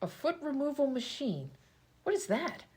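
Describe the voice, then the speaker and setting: A woman plays a long-suffering patient in a radio comedy. Her voice is high and very animated, with an incredulous, sardonic way of answering back. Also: normal speed. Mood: skeptical. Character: wisecracking, exasperated female patient with a broken foot. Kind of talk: comedy radio-play acting.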